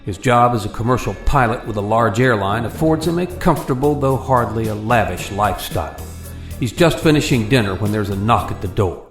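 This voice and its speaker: A man's voice: on the low side, a little fast, over music. Echoey American male radio host. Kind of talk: radio host presenting, scripted story narration. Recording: echoey room sound.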